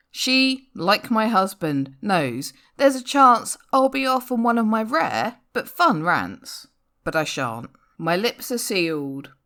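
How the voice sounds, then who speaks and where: Very animated, low-pitched woman reciting poetry. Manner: wry, theatrical, expressive delivery. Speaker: poetry reader. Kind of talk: dramatic spoken-word poem reading, rhyming storytelling.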